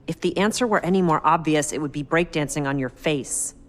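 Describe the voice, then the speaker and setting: An actress playing a bold, quick-witted young woman who talks her friends into a heist, in a game cutscene. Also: deep voice, long reverb tail, close to an everyday voice. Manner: cocky, persuasive, quick-witted. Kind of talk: video game cutscene acting.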